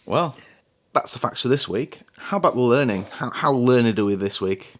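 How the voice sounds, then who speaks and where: A man's voice: medium pitch, lively intonation, normal speed. A man from the UK co-hosting a podcast, playful and jokey in casual chat. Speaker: podcast co-host.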